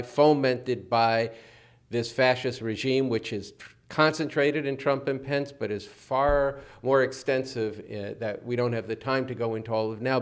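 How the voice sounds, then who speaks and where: An American man co-hosting political commentary, halting and emphatic, repeating phrases as he builds his points. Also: medium pitch. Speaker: show co-host.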